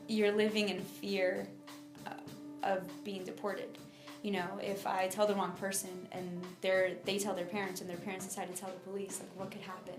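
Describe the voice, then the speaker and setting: Young woman, roomy sound over music. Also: medium pitch. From United States (Miami, Florida). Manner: informal, halting, frequent "like" and "you know". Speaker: interviewee. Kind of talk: personal story in an interview.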